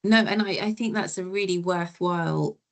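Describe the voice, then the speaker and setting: A woman's voice: lowish voice, moderate pace. A woman moderating a webinar panel conversationally, affirming others' points and thinking aloud as she puts questions.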